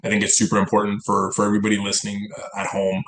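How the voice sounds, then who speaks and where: A man's voice: on the low side, completely flat delivery, rapid-fire, a bit bright, roomy sound, a little hiss or hum, poor audio. A man whose first language is Italian, co-hosting a podcast in casual chat over wine, rambling with many repeated words and restarts. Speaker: podcast co-host.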